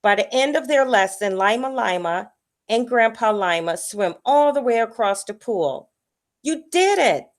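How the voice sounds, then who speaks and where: A woman reading a picture book aloud to children in a deliberate storytelling manner. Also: medium pitch, animated.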